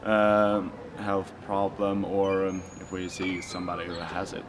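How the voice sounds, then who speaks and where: A man's voice: low voice, monotone, measured pace, background noise. A young man, speaking in a casual interview and hesitating often with filler sounds. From Sweden. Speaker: youth rally participant. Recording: outdoor recording with loud music.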